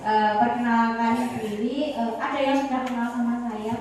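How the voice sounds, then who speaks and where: A woman's voice: fairly high pitch, steady tone, moderate pace, darkish tone. A woman in her thirties from Wonogiri with a Javanese accent, giving a chatty health talk to elderly people and often asking them questions. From Wonogiri, Central Java, Indonesia. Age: 30s. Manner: chatty, asks the audience questions. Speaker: health educator at a community health center. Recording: echoey hall, far from mic, crowd behind.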